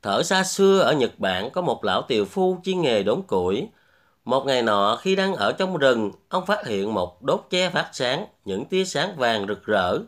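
A man's voice: medium pitch, very animated, measured pace, a bit bright. Guy reading out a fairy tale, mid voice, lots of up and down in it. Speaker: storyteller, host. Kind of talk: scripted fairy-tale narration.

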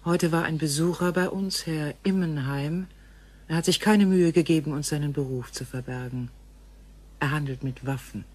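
A woman playing a grave, gentle female alien visitor in a science fiction radio play, speaking in formal, measured phrases. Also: deep voice. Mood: grave, worried.

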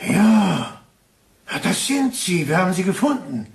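An actor playing a shrewd, genial detective in a German radio crime drama, speaking in a theatrical, inquisitive and courteous way. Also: male voice, sits high, sing-song, measured pace, creaky, noisy background, full character voice. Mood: triumphant. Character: shrewd, genial male police detective, quietly triumphant.

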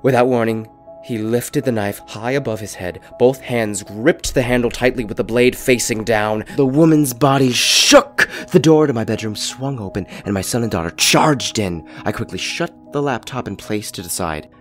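A man's voice: mid-range voice, normal speed, faint music behind. A young adult man, a voice actor, delivering dramatic scripted narration.

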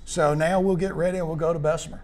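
An older man interviewing high school football players in a clubhouse after a game, upbeat and full of praise. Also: steady tone, quick pace, darkish tone, a little hiss or hum. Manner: upbeat, praising, rambling questions. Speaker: postgame interviewer. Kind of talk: postgame sports interview.

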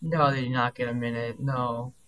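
A young man chatting casually while speedrunning a game, rambling with lots of fillers and quick asides, his voice sounding deep. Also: fairly even intonation, fairly bright tone, soft background music.

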